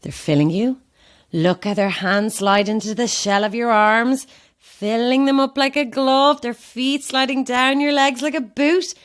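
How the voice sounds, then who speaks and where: A woman's voice: on the high side, steady tone, measured pace, lightly acted. A woman playing an anxious, self-deprecating actress in an audio drama, chatty and wry with a theatrical streak. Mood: slow, hypnotic. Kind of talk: audio horror-comedy drama acting.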